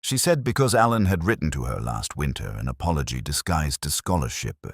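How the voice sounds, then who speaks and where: A man reading scripted first-person mystery narration in a measured, literary, melancholy manner. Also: very deep voice, big pitch swings, husky, dry recording, studio quality.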